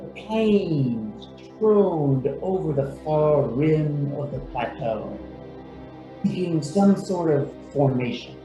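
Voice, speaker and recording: A man narrating a radio play in a measured, scripted storytelling manner. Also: a little high, expressive. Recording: roomy echo, music underneath.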